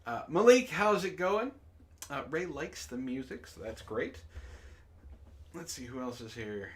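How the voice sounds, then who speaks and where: A man's voice: mid-range voice, animated, unhurried. A man hosting a casual group livestream with informal, sweary banter. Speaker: host.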